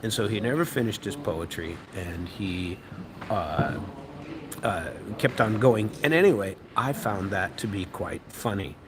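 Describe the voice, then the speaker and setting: A man's voice: on the low side, animated, unhurried, noisy background. An American man and radio host telling a rambling story with many fillers and restarts. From United States. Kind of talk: casual storytelling monologue. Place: restaurant or bar, eating while talking.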